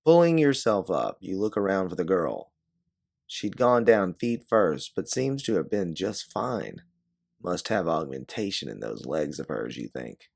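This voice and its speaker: A man's voice: low-pitched, big pitch swings, unhurried, vocal fry, darkish tone. A man reading a scripted second-person story in a dramatic narrating style. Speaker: narrator of an original audio story.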